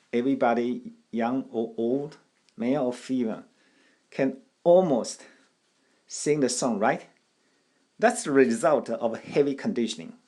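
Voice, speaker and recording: Slow, deliberate man teaching language. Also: mid-range voice, darkish tone. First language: Taiwanese. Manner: deliberate, repeats phrases, frequent restarts. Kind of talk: slow instructional talk.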